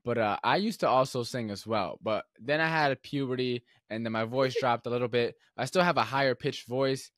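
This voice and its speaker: A man's voice: mid-range voice, a little fast, no room sound. Young guy podcast host, rambles with tons of "like" and "um", dry sound. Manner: relaxed, rambling storyteller with many fillers and restarts. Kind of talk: casual podcast conversation.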